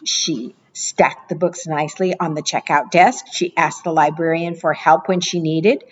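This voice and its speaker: A woman librarian reading picture books aloud to preschoolers, with expressive, animated delivery, asking the children questions and praising their answers. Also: unhurried, lo-fi sound. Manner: expressive, animated read-aloud with playful pitch jumps.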